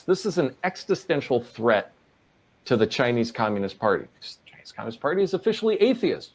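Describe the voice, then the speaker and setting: A man from Oregon answering interview questions as a guest, in an earnest, measured way. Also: medium pitch. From Portland, Oregon, USA.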